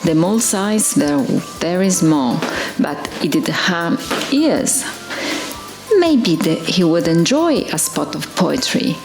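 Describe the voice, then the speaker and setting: Slow-paced woman reading a storybook, roomy echo. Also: lowish voice. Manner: expressive, deliberate, singsong on rhymes. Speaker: parent reading a picture book aloud. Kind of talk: storybook read-aloud for children. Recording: roomy echo with faint music behind.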